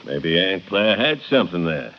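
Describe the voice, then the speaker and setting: An actor playing a gruff, sardonic police sergeant sidekick in a vintage radio crime drama, folksy in manner. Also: male voice, low-pitched, big pitch swings, moderate pace, a little hiss or hum. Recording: dull old radio recording.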